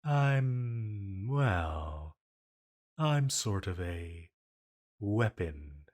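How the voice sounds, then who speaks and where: A man playing an ancient banished villain entity in an intimate roleplay audio, flirty yet lonely, musing hesitantly. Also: fairly low pitch.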